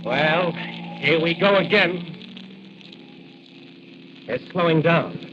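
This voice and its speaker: Righteous crime-fighting hero, American, commanding radio-serial delivery, muffled recording with heavy noise. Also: male voice, fairly high pitch, takes it slowly. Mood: determined. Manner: declamatory, commanding tough talk. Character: heroic masked crime fighter, bold, righteous young man. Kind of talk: 1940s radio adventure serial acting. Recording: dull, noisy old radio recording.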